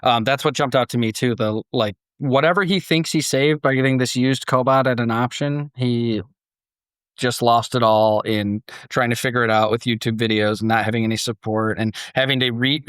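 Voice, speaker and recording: A man's voice: medium pitch, steady tone, moderate pace, fairly bright tone, clean tone, dry recording. A man hosting a podcast, talking in a casual, conversational way and reading a story aloud to a panel. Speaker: podcast host.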